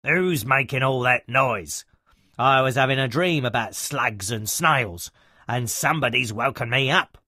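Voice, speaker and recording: A man voicing a grumpy, hungry male troll in a storybook, loud and growling. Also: mid-range voice, measured pace, no echo, studio quality. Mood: grumpy menacing. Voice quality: growling, grumbling.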